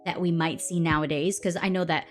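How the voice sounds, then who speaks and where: A young woman hosts a relaxed podcast and asks long, rambling questions in an upbeat way, full of "like." Faint music sits behind her voice. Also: fairly low pitch, brisk. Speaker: podcast host. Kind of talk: casual podcast interview.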